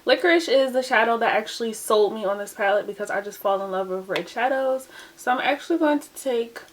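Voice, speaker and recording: Young woman, slightly high-pitched, chatty and casual, voice a bit groggy and dry, talking close to the camera. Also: a little fast, a little hiss or hum. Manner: chatty, uses lots of "like" and "um". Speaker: beauty vlogger. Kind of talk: casual makeup tutorial chat.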